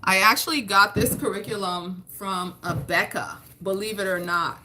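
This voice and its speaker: A woman's voice: medium pitch, normal speed, noisy background. A woman in her forties, a homeschooling mother from Haiti whose first language was French, chatting casually to camera with frequent restarts. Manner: chatty, restarts and repeats words.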